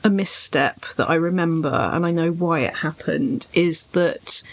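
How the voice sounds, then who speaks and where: A woman's voice: sits low, steady tone, unhurried, poor audio. A British woman reflecting on writing as a podcast co-host, speaking articulately and at length in a thoughtful way.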